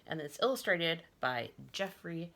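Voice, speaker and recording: A woman's voice: on the low side, a little slow. A woman librarian talking casually to children and reading a picture book aloud, expressively acting out sounds. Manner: expressive, acts out sounds and lines. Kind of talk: friendly casual talk and animated picture-book read-aloud. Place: basement at home.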